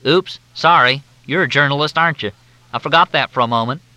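A man's voice: fairly high pitch, a little fast, bright, forceful tone, no echo, pitched above the performer's own voice, rough recording. A man voicing a courteous Southern army major with a thick drawl that makes everything sound like a question. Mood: folksy, chatty.

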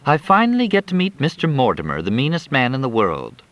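A man voicing a bedridden young woman, shrill and complaining as she is thrown around. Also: wildly swooping pitch, brisk, a bit bright, dry recording. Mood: sarcastic.

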